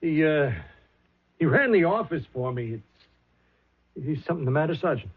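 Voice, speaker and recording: Blustering, cynical male hustler boss, husky and animated, gruff slang, vintage radio drama sound. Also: a little high, moderate pace. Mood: defensive. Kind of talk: old-time radio drama acting. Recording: muffled vintage 1970s radio recording.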